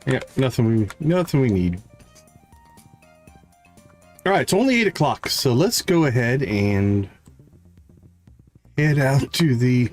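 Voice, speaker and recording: A man gives casual live gaming commentary at an unhurried pace, his pitch moving around in a lively way. He thinks aloud in short fragments and talks to his viewers, with a slight catch in his throat. Faint game music plays behind him. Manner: chatty, addresses viewers. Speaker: livestream host, gamer.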